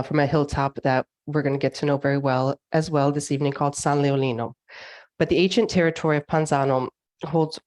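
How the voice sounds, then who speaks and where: Low-voiced Californian woman giving a lecture. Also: a little mellow. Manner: chatty, many ums and uhs. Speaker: winery representative giving a lecture. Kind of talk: online lecture with slides. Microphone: video call microphone.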